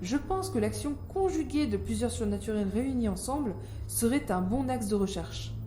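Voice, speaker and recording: A woman's voice: fairly high pitch, expressive, normal speed, mellow, natural voice. A woman playing an ambitious, calculating scientist in an audio drama, articulate, self-assured and coolly persuasive. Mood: intrigued. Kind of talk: scripted audio drama acting. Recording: roomy sound, faint music behind.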